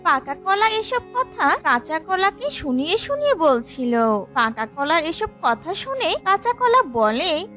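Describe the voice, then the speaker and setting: A woman's voice: extremely high pitch, very animated, unhurried, sharp tone, faint music behind. A woman narrating a scripted children's story for a cartoon.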